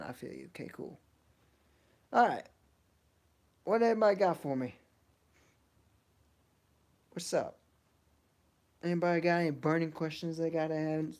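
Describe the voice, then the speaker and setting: A young man in his early twenties with a fairly high male voice that often slips into fry. He talks like a game streamer hanging out with chat, loose and slangy, taking questions as they come. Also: darkish tone. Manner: laid-back, slangy. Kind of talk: casual livestream chat, reading and answering viewer questions.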